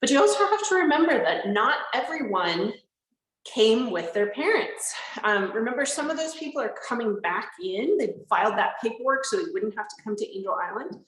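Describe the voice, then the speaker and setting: A woman's voice: fairly high pitch, big pitch swings, normal speed, a bit bright, roomy sound, low-quality recording. A woman park interpreter giving a live educational talk from inside a historic barracks, explaining simply and answering viewers' questions. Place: indoors in a large historic barracks building.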